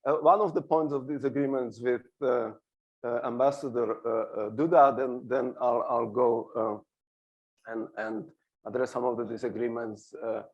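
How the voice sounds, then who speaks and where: A man's voice: mid-range voice, gravelly, a little mellow, highs cut off. A middle-aged man from Slovakia with a Slovak accent, arguing his points on a discussion panel and hesitating often. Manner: argumentative, hesitant with many fillers. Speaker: panel speaker. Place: small hall on a stage.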